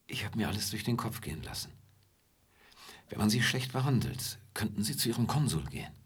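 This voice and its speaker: An actor playing an earnest, courteous gentleman drifter in a radio play, speaking in a formal, reserved manner. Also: fairly low pitch, expressive, a little fast, slightly rough. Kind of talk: German radio play acting.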